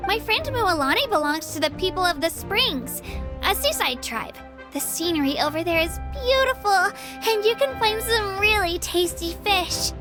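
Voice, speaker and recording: A sweet young girl in a video game, earnest and a little unsure of herself. The voice is tiny and very high, rising and falling with animation, with music clearly playing underneath. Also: animated, normal speed. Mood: cheery, eager. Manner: earnest, cheery, childlike.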